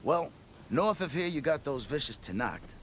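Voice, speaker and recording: An actor playing a blustering, scheming expedition leader in a video game, with grandiose storytelling. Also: male voice, a little high, big pitch swings, moderate pace, a bit bright, slight background noise. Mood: dramatic, boastful. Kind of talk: video game cutscene acting.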